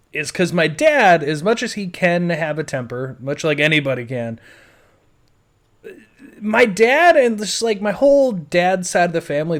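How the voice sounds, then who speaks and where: An American man in his thirties from western New York, telling his life story in a casual, unscripted audio blog with a rambling, blunt, informal way of talking. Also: fairly high pitch. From western New York State, USA. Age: 30s.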